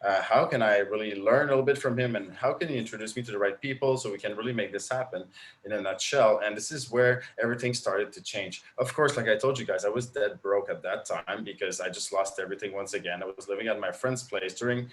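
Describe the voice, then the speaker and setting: A French Canadian man in his thirties talks through a laptop webcam on a live video call. His voice sits fairly low, and he tells his story earnestly, with plenty of uhs and ums. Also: quick pace. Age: 30s. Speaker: guest coach. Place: indoors at home, bright room.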